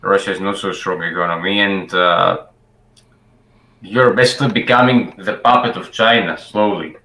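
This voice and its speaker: A man's voice: low voice, steady tone, moderate pace, pushed and bright, roomy sound, a little hiss or hum, poor audio. A man from Greece, talking to a stranger in a casual video chat, hesitant and full of fillers.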